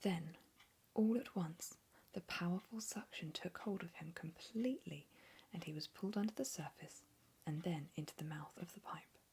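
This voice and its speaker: A woman whispering a storybook reading in a low, hushed voice. A faint hiss and small clicks sit behind her. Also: normal speed.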